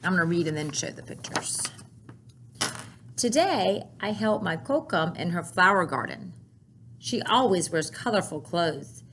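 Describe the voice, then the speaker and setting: A woman's voice: lowish voice, lively intonation, a little slow, background noise. A woman reading a picture book aloud to children, expressively and carefully, with brief asides of her own.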